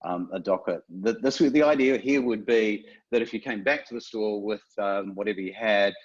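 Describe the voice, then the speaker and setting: A man's voice: on the low side, normal speed, slightly rough, dull audio. A man with a New Zealand accent giving a chatty, self-deprecating webinar presentation as a guest speaker from a retailer.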